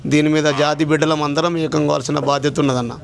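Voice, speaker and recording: A man's voice: fairly high pitch, level tone, fast, creaky, a crowd in the background. A man with a Telangana Telugu accent giving an earnest, deferential account to a listener on the phone. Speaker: journalist recounting an assault on him.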